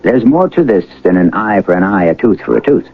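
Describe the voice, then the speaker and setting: A man hosting a radio drama with scripted suspense narration, deliberate, rhetorical and portentous. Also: sits low, lively intonation, a little hiss or hum. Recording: muffled vintage radio broadcast with music.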